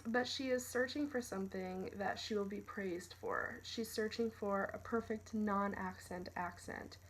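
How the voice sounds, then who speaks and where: A woman's voice: medium pitch, fairly even intonation, unhurried, slightly rough, rough recording. A woman reading a prepared academic argument as a scripted student narration. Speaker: student presenter.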